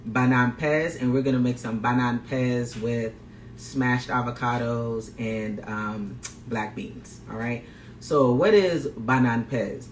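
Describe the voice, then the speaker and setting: Upbeat male chef hosting a live cooking demo, mid-pitched voice, roomy recording. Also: slow pace. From Guyana. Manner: upbeat, greets viewers, repeats phrases. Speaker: plant-based chef and health coach. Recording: roomy echo, faint background noise.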